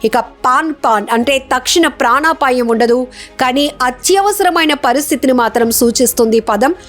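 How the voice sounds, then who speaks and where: A woman's voice: sits high, moderate pace. A woman presenting a scripted news explainer as a narrator. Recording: music bed behind the voice.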